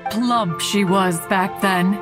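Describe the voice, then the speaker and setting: A woman's voice: mid-range voice, slow, lightly acted. A woman voicing a proud ancient female immortal in a video game, with a stately, archaic manner and a fond streak. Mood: fond, self-satisfied. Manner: stately, archaic, calls herself "one". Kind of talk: video game character acting. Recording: faint game music behind.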